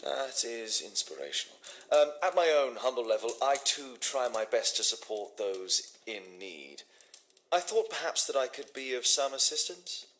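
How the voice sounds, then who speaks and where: An actor playing a shrewd, courteous Victorian detective in a video game, formal and deliberate, with a British accent. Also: male voice, medium pitch, very animated, fairly bright tone. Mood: courteous, flattering. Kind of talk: scripted video game dialogue acting.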